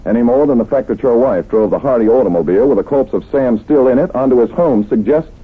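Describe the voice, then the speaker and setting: An actor playing a shrewd, courteous investigator in an old radio mystery, probing with measured confidence. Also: male voice, mid-range voice, natural voice. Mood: assured. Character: shrewd, polite, self-assured male investigator doctor. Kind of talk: 1940s radio drama acting. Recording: tinny, husky-sounding old radio recording.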